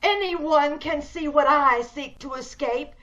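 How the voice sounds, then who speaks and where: A woman's voice: sits high, a little slow, a bit bright. A woman playing a bitter, imperious, disfigured recluse in an old-time radio drama, theatrical and despairing. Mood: scornful, angry. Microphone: video call. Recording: dull video-call audio.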